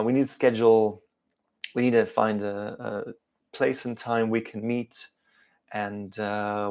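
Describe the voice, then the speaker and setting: A man's voice: fairly low pitch, vocal fry. A man in his thirties with an English accent tinged with South African, chatting hesitantly with many fillers while answering viewer questions live. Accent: English with some South African. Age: 30s. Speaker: car channel host. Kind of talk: live stream Q&A chat.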